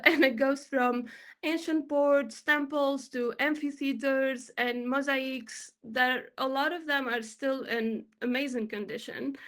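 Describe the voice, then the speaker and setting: A woman's voice: high-pitched, fairly bright tone. A young woman in her twenties, from Tunisia, presenting her work on an online panel in an upbeat, chatty way with many fillers. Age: 20s. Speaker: panel guest, XR developer.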